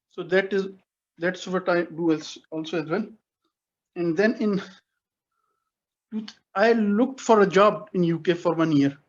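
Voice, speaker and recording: A man in his forties from Pakistan telling his life story on a video call, speaking haltingly with many restarts and self-corrections. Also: high-pitched, lo-fi sound. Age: 40s. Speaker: guest speaker. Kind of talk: personal life story talk.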